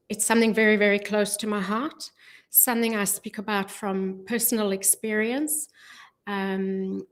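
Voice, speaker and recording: A middle-aged woman speaking as a guest in a radio studio interview, earnest and measured, with frequent ums, on a studio microphone. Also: medium pitch. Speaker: guest, charity volunteer. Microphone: studio mic with headphones.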